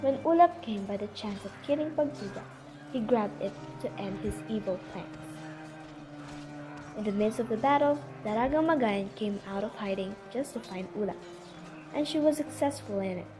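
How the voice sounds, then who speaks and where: A woman's voice: mid-range voice, expressive, moderate pace, low-quality recording. A woman giving scripted storytelling narration for an amateur radio drama. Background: music.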